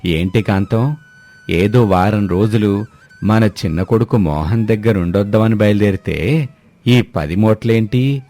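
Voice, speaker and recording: A man's voice: fairly low pitch, unhurried, warm tone, natural voice. A man playing a wry, exasperated old village husband in a comic family story, teasing his wife with sardonic reasoning. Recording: dry studio, music behind.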